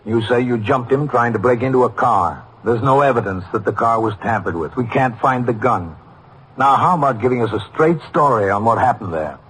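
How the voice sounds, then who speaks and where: An actor playing a stern, measured police captain in an old radio drama, questioning firmly and deliberately. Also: male voice, mid-range voice, lively intonation, fairly bright tone, slight background noise, close to an everyday voice, rough recording. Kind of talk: old-time radio drama acting and narration. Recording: vintage radio drama recording.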